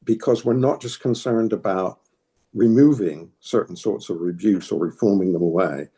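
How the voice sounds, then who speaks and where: A man's voice: on the low side, moderate pace, dark tone. An older man with a New England accent, answering interview questions in a hesitant, thoughtful way, with frequent restarts. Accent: New England American. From New England, United States. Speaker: interviewee, political activist. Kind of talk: reflective interview talk.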